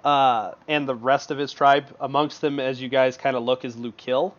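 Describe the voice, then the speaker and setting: A man's voice: mid-range voice, steady tone, moderate pace. A man narrating as game master in an online tabletop session, loose and full of fillers, laughing often. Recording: dull lo-fi voice chat audio.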